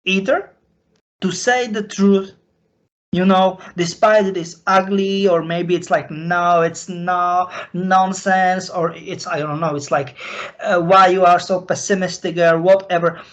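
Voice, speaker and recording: A man from Slovakia with a Slovak accent, a native Slovak speaker, talking off the cuff and confessionally in halting, repetitive, broken phrases. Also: high voice, fairly even intonation. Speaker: recurring guest on a question-and-answer show. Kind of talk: unscripted, confessional talk.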